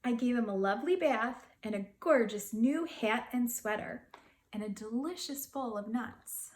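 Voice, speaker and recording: Woman as little girl storyteller, storybook, earnest, slightly high voice, slow pace. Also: echoey room, slight background noise. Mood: fond. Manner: expressive, dramatic storybook telling. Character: little girl narrator, fond, earnest and imaginative.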